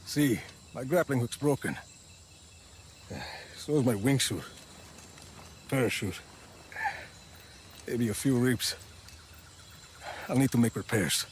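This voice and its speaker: An actor playing a rugged, cocky middle-aged action hero in a game cutscene, laconic and wry. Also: male voice, medium pitch, expressive, slow pace, long pauses, slight background noise. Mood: wry, weary. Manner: laconic, wry, clipped phrases. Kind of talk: video game cutscene acting.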